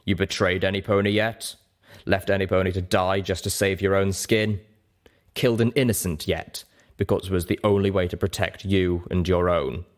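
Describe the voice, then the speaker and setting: A man voicing a weary, grim pony merchant and father in an audiobook, speaking slowly and deliberately with bitter candour. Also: deep voice, steady tone, lightly acted. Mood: accusing. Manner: slow, deliberate, bitterly confessional. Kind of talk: audiobook character voice.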